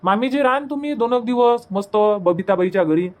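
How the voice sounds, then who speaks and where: A woman's voice: fairly high pitch, lively intonation, fairly quick, a little mellow, natural voice. A woman playing a hospitable, insistent young daughter-in-law in a cartoon comedy, coaxing and repetitive, with a Varhadi Marathi accent. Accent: Varhadi Marathi (Vidarbha). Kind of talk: homemade cartoon comedy dub.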